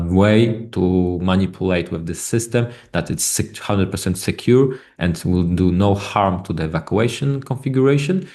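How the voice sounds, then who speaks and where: A man who is not a native English speaker giving a technical product presentation in a webinar, speaking haltingly with many hesitations and repeated words. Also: sits low, a little mellow.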